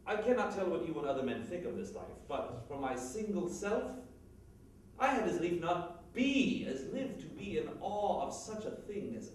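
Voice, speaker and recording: A man's voice: fairly high pitch, darkish tone, slight background noise, voice pushed up. A man playing a bitter, envious, proud Roman in an intense Shakespearean stage monologue. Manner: intense, declamatory. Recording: very echoey room, distant mic.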